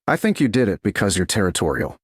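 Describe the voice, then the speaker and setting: A proud medieval noblewoman in a romance audiobook, voiced by a man. She is quiet yet pressing and challenging, with a husky tone and very mobile intonation. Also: low voice, sing-song, fast, no room sound, clean studio sound, heavily acted. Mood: pressing, tense.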